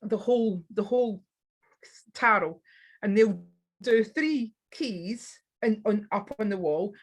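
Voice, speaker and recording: Woman chatting in an interview, keen, lots of restarts, mid pitch. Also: animated, takes it slowly, rough recording. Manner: enthusiastic, restarts often, hesitant fillers. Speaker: guest on spiritual practice. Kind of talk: casual interview talk.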